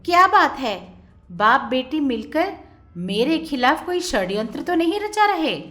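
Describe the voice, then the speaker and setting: Woman doing a shrill stepmother, bullying, high and swooping, yelling insults. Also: big pitch swings, measured pace, soft background music, full character voice. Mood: scolding, shouting. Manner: shouting, scolding, insulting.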